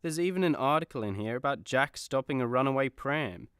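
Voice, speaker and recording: A man's voice: mid-range voice, lively intonation, a little fast, a bit bright, clear, resonant tone, no echo, clean studio sound. An actor playing a vain, sulky male superhero in a radio comedy, griping with indignant sarcasm. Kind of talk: comedy radio play acting.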